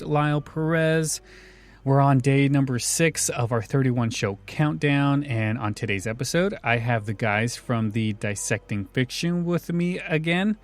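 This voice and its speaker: A man hosting a podcast in a casual, conversational style. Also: medium pitch. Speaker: podcast host.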